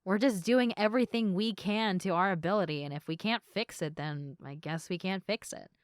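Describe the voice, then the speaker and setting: A woman playing a sleepy, affectionate girlfriend in an intimate ASMR roleplay, chatting softly. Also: mid-range voice, normal speed, dry recording, studio quality, close to an everyday voice. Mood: chatty, mildly exasperated.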